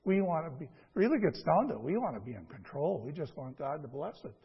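A man preaching a conversational sermon in a folksy, halting way, repeating phrases and asking rhetorical questions. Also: fairly high pitch, big pitch swings, creaky, husky, muffled recording. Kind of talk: conversational sermon with scripture reading.

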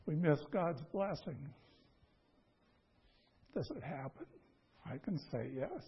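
A man preaching a conversational sermon in a folksy, halting way, repeating phrases and asking rhetorical questions. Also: on the high side, big pitch swings, slightly rough, highs cut off. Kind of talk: conversational sermon with scripture reading.

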